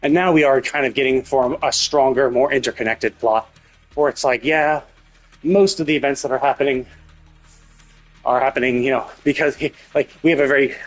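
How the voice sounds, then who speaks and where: A man's voice: mid-range voice, steady tone, moderate pace. A man hosting a livestream, giving casual game commentary in a rambling way, thinking aloud as he goes. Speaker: livestream host. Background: game music.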